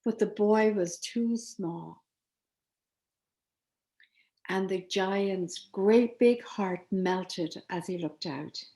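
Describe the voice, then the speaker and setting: A woman storyteller reading a children's tale expressively, with deliberate dramatic pauses. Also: medium pitch, mellow.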